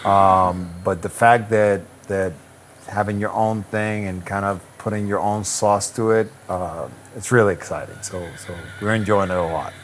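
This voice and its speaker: A man, a real estate broker answering interview questions in a relaxed, reflective way with many restarts. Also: on the low side, moderate pace, a little hiss or hum. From Puerto Rico. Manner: easygoing, reflective, frequent restarts and "um".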